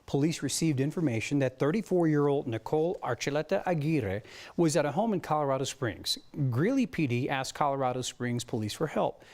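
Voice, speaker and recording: A man's voice: on the high side, animated, brisk, a little mellow. A man with an American accent anchoring the news, moving between broadcast delivery and light studio banter.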